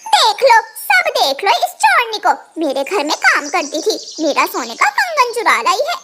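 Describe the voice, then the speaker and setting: A woman's voice: very high voice, huge pitch swings, moderate pace, over music, heavily acted. A woman voicing an angry adult female crow in a cartoon, scolding and accusing dramatically. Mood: furious, accusing. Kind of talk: Hindi animated moral-story dubbing.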